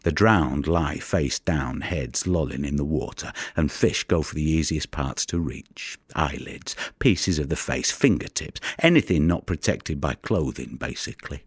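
Very deep, husky, weary fisherman. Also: animated, no echo, clean studio sound, full character voice. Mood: grim, matter-of-fact. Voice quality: gravelly, husky, breathy. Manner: hushed, deliberate, brooding storytelling. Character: haunted, weary Mediterranean fisherman who recovers drowned bodies. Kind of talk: dramatic audio monologue.

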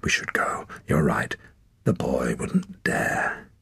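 A man voicing a frightened clansman in an audiobook, who speaks in a hushed, low and timid way. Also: very animated, slow, creaky, slightly rough, in a whisper, exaggerated voice. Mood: nervous.

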